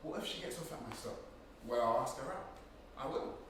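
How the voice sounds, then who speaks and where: A man's voice: medium pitch, fairly quick, natural voice. An actor playing an intense, restless young man, wry and poetic, in a theatrical monologue. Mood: dreamy. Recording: echoey room, far mic, noisy.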